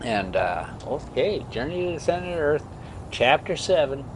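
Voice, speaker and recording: A man reading a story aloud as an amateur host, halting and stumbling over words now and then, with casual delivery. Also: a little high, big pitch swings, noisy background. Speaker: host reading stories aloud for a pet video channel.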